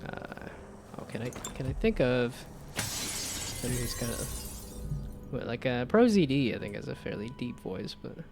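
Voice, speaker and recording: A man's voice: mid-range voice, big pitch swings, normal speed, music in the background. A young-sounding man with a slightly nasal voice, chatting casually and rambling while he streams a game. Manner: laid-back, rambling with restarts. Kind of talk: casual gameplay chat.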